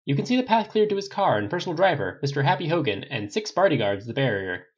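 A man voicing a brisk, upbeat female TV news reporter with an emphatic announcer delivery, reporting live. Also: a little high, big pitch swings, breathy edge, highs cut off, voice pushed up. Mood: upbeat, formal.

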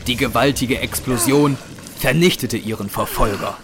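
A man's voice: mid-range voice, moderate pace, noisy background, lightly acted. A man narrating a horror action audio drama in a grave, scripted storytelling style. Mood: grave, suspenseful. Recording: music under the narration. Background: dramatic music.

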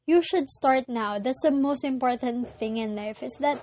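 A young woman with a Filipino accent, whose first language is Filipino, hosting a casual solo podcast and often pausing to search for words. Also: sits high, a little mellow, a little hiss or hum. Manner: hesitant, searching for words with many pauses. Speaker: podcast host.

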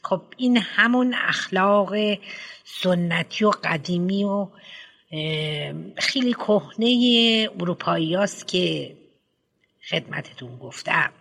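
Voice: mid-range voice, expressive, creaky, a bit bright, voice pushed up. A reader voicing a fussy older school head with false teeth who rambles pompously with many hesitant fillers. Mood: rambling, lecturing. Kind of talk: audiobook character reading.

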